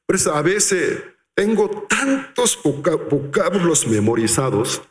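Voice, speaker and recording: A male pastor preaching a sermon, acting out quoted voices and slowing down to stress key words. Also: a little high. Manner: animated, acts out quotes, slows for emphasis. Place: large reverberant church hall. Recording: echoey church hall.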